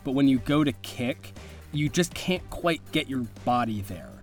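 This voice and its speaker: A man's voice: mid-range voice, lively intonation, a little slow. A man narrating an improvised tabletop game as game master, casual and vivid in his describing. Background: music.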